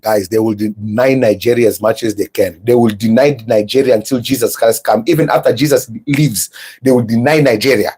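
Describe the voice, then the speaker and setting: A man's voice: medium pitch, fairly even intonation, fairly quick, darkish tone, breathy edge. A man hosting a podcast, giving heated, mocking reaction commentary. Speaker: podcast host.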